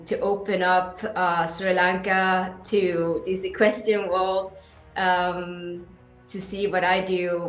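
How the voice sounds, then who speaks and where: A woman in her thirties who grew up in Sweden, talking eagerly in an interview with frequent ums and pauses. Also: lowish voice, a bit bright, reverberant, poor audio. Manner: enthusiastic, hesitant with many fillers. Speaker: interview guest, equestrian athlete. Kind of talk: casual interview answers.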